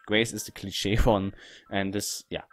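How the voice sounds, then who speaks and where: A man giving casual game commentary in halting, non-native English phrasing. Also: lowish voice, level tone, dry recording. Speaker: gaming video host.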